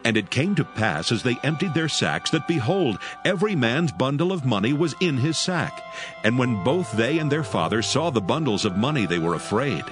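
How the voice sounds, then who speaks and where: Casting: male narrator for audio scripture, lowish and formal with lively melody, loud music bed. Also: normal speed.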